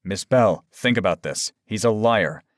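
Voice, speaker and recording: A man's voice: on the low side, a little slow, dry recording, clean studio sound, lightly acted. A man voicing a firm, wary male protector who speaks in a defiant way. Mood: wary insistence.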